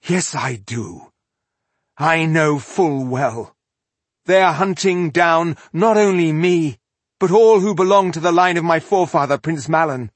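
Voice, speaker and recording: A man's voice: a little high, measured pace, no echo, pitched above the performer's own voice. A man voicing a fierce, defiant young woman rebel in a fantasy audiobook, speaking with urgent, accusing force. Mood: resolute.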